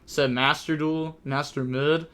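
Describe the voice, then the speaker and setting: Bright-voiced young male streamer. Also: on the high side, steady tone, a little slow, smooth. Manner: chatty, rambling, thinks aloud with many fillers. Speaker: streamer commentating a card game tournament. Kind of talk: casual live-stream commentary.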